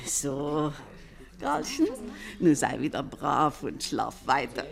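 A woman's voice: a bass voice, wildly swooping pitch, slow pace, creaky, heavily acted. An actress playing a friendly, proud showman's wife in a radio play, with a singsong foreign accent and polite, coaxing sales patter.

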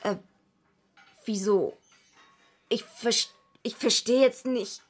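A woman's voice: a little high, slow pace, soft background music. An actress playing a naive but tough young female wrestler in a radio drama, plain-spoken and slangy as she tells and acts out her story. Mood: indignant, confused. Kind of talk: radio drama acting and first-person narration.

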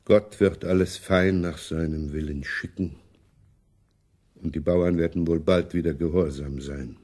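An actor in a German radio play playing a weary, pious old prince who speaks in a resigned, halting, devout way. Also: male voice, extremely low pitch, steady tone, darkish tone.

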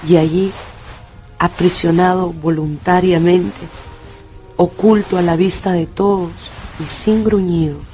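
A male narrator telling a dramatised story for radio in a measured, expressive way. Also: high voice, soft background music.

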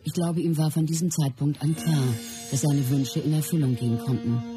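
Actress as reflective wife, measured memoir narration, radio play, roomy sound, faint music. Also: female voice, low-pitched, steady tone, natural voice. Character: Bruce Lee's wife, reflective woman recalling their life. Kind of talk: scripted radio drama narration.

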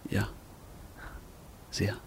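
An actor playing an earnest, tender young gentleman in love, grieving and formal, in a German radio drama. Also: drawn-out words, slight background noise. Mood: tender, ardent. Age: young adult.

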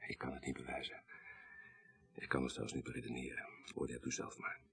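An actor playing a grave, troubled son in a radio drama, speaking in a formal, measured, articulate way. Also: male voice, extremely low pitch, monotone, vocal fry, fairly bright tone, husky, heavily acted. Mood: subdued, troubled. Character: grave, troubled son recounting his dead father's story.

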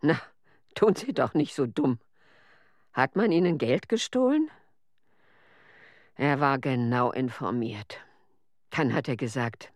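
An actor playing an agitated emigrant witness who recalls persecution in a radio documentary play. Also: male voice, medium pitch, dry recording, clean studio sound. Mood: indignant.

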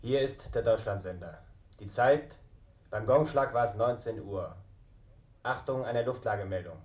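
An actor playing an official 1940s German radio announcer who reads air-raid warnings in a formal, clipped, impersonal manner, heard through an old radio set. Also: male voice, fairly low pitch, moderate pace, a little mellow. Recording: dull, echoey old radio sound.

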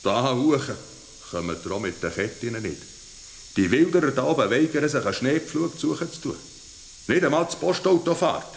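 An actor in a radio play playing a wary, plain-spoken village mechanic with a Bernese Swiss German accent, a little hesitant. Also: male voice, medium pitch, expressive, moderate pace, gravelly, slight background noise. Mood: wary, puzzled.

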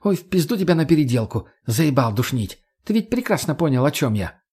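A man voicing a brash, foul-mouthed, mocking young woman in an audiobook, with crude, lively delivery. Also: on the high side, a little fast, husky, pitched above the performer's own voice, exaggerated voice. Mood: indignant. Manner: crude, slangy, loudly expressive.

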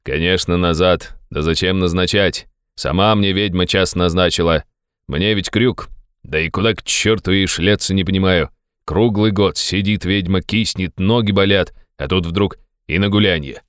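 A man voicing a gruff, bossy, impatient visitor who grumbles and bellows in an audiobook of a classic novel. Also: sits low, fairly even intonation, normal speed, a bit bright, no echo, clean studio sound. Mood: grumbling.

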